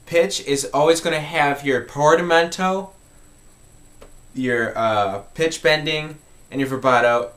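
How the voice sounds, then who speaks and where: A man's voice: a little high, slow, fairly bright tone. A man hosting an informal music tutorial, explaining step by step in a conversational way and repeating key points.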